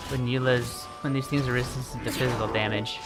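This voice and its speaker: A man's voice: mid-range voice, fairly even intonation, brisk, over loud music, low-quality recording. A man livestreaming a video game with casual, rambling commentary, repeating himself in a mildly exasperated way. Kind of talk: casual live gaming commentary.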